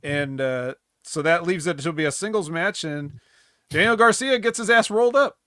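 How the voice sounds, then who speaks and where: A man's voice: fairly high pitch, expressive, moderate pace, pushed and bright, dry recording, studio quality. A man hosting a casual podcast, recapping in a rambling, wry way full of ums. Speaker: host.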